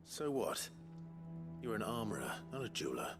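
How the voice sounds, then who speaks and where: An actor playing a calm, stern rebel leader with a husky voice and a measured, authoritative manner in a video game. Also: male voice, lowish voice, over music. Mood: matter-of-fact.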